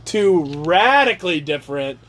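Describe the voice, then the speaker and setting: A man casually reading aloud and riffing on the text with sarcastic, profane, animated asides, on an amateur home recording. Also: sits high, moderate pace, a crowd in the background. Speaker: reader riffing on a handbook aloud to his brothers. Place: casual room with brothers chiming in.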